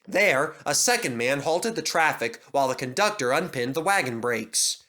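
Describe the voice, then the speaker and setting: A man narrating a storybook in an expressive, lively way. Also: fairly high pitch, moderate pace. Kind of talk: storybook reading.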